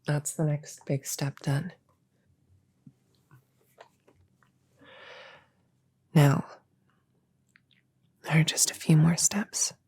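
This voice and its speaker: A woman playing a friendly, slightly bumbling novice witch in a soft-spoken ASMR roleplay, breathy and often whispering, with a hesitant, casual way of talking. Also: low voice, full character voice. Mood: reassuring. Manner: hesitant, casual, self-correcting.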